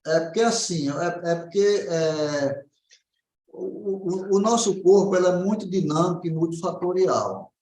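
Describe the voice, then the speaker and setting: Brazilian man, naturopath in consultation, explanatory with frequent check-in tags, higher pitch, roomy echo. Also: unhurried, darkish tone, slight background noise. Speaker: naturopath answering questions. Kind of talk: informal health consultation.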